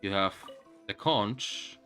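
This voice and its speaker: A man doing casual live game commentary as a streamer, musing aloud to viewers in a halting way, with game music behind him. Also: lowish voice, bright, forceful tone.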